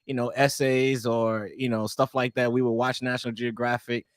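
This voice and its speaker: A man's voice: mid-range voice, moderate pace, dry recording, clean studio sound. Laid-back man from East St. Louis. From East St. Louis, Illinois. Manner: relaxed, rambling, peppered with "man" and restarts. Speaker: guest. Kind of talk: casual interview storytelling.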